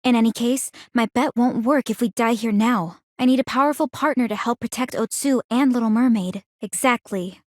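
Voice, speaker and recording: Woman voicing a composed, analytical young game heroine, higher-pitched. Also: dry recording, clean studio sound, natural voice. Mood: composed, confident. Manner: measured, formal, articulate. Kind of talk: scripted video game character dialogue.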